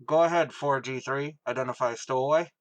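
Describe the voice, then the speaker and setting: A man's voice: medium pitch, brisk, no echo, lo-fi sound, natural voice. An actor playing an officious male spaceship radio operator in a radio drama, clipped and by the book.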